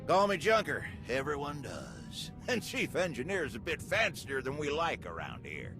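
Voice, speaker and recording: An actor playing an older, folksy and plain-spoken station engineer in a video game. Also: male voice, on the high side, huge pitch swings, normal speed. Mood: friendly, modest. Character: folksy, gruff veteran male station engineer. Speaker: chief engineer. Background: music.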